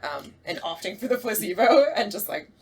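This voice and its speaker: A woman's voice: mid-range voice, sing-song, moderate pace, darkish tone, slightly rough. A woman chatting casually as a podcast panelist, explaining things and mimicking people in quoted asides. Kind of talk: casual chat.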